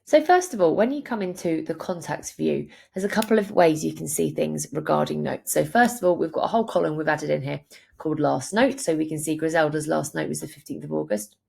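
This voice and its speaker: A woman presenting a software tutorial, explaining each step in a clear, instructional way. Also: sits low, animated, brisk. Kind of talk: screen-share tutorial narration, instructional.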